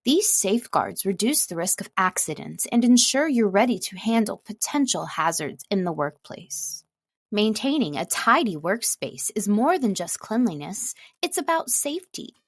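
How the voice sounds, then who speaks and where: A woman reading scripted narration as a voice-over artist, measured and carefully enunciated. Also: mid-range voice, big pitch swings, husky, dry recording, clean studio sound.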